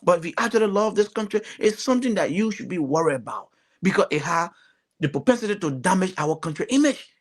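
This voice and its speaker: A man from Liberia with a Liberian accent, giving earnest, emphatic political commentary as a talk show panelist, often repeating phrases. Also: high voice.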